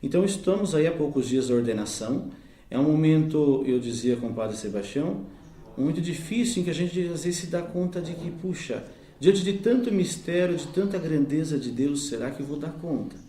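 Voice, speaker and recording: A man in his thirties, from Brazil, shares his religious testimony in an earnest, reflective way. His voice is mellow and warm. The room around him sounds echoey. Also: fairly high pitch, animated, brisk. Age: 30s. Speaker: deacon giving testimony. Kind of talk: personal faith testimony.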